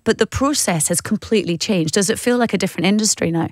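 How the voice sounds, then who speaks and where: A woman's voice: mid-range voice, quick pace, no room sound, studio quality. A middle-aged British woman conducting a chatty, enthusiastic radio interview in a conversational way.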